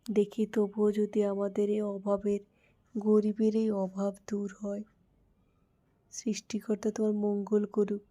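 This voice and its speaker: A woman voicing a poor, gentle village wife and mother in a cartoon fairy tale, speaking earnestly and respectfully. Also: mid-range voice, completely flat delivery, measured pace, natural voice.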